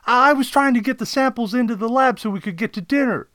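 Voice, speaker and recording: A man voicing a detective's eager, complaining young male assistant, excitable and whiny, in an audio story. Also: fast, dry recording. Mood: flustered, apologetic. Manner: high-pitched, excitable, deferential yet whiny.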